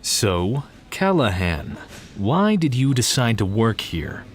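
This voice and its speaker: A man acting as a gruff, guarded police detective burdened by grief in an audio crime drama. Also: mid-range voice, big pitch swings, takes it slowly, slight background noise. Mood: guarded, curt.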